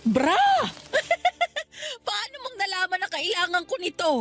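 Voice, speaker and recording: A filipino mom in a radio drama, bright lively voice, fond but scolds jokingly. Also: female voice, very high voice, unhurried, soft background music, full character voice. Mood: delighted. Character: teasing, thrifty, affectionate middle-aged mother and wife. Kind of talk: Tagalog radio drama acting.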